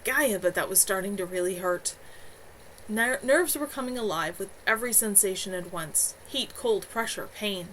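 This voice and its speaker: A woman's voice: mid-range voice, a bit bright, a little hiss or hum, poor audio. A woman author reading her own novel aloud live from home, earnest, with a few small stumbles.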